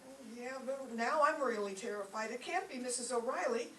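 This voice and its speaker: A woman's voice: a little high, brisk, sharp tone. A woman playing a sensible, exasperated, wisecracking young roommate in an old-time radio comedy. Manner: dry, wisecracking. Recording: echoey stage, distant mic, faint noise.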